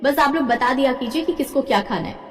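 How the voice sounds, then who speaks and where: A woman playing an exasperated, hard-working daughter-in-law in an animated family drama, aggrieved and emphatic. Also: high-pitched, fast talker, soft background music, natural voice. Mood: indignant, determined. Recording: echoey hall-like reverb.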